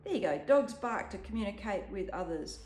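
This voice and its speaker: A woman's voice: medium pitch, expressive, a little fast, a little mellow, slight background noise. A woman casually walking through an app demo at home, chatty and explanatory with frequent ums. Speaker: app tutorial presenter. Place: home room with a dog around. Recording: echoey room.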